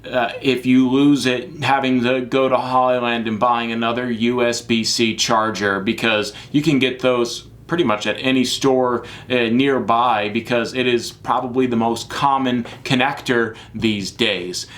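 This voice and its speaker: A man's voice: mid-range voice, fairly even intonation, unhurried, few pauses, fairly bright tone. Chatty man reviewing gear to camera. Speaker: product reviewer, live sports production crew. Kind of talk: casual semi-scripted gear review to camera.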